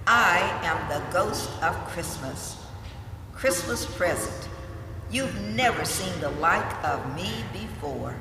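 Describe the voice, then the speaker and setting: In an echoey hall, far from the mic with music underneath, a jolly giant spirit speaks with booming good cheer. The delivery is radio-drama hearty and extremely drawn out. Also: male voice, expressive, takes it slowly, background noise. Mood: jovial, welcoming. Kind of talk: 1940s-style radio play acting.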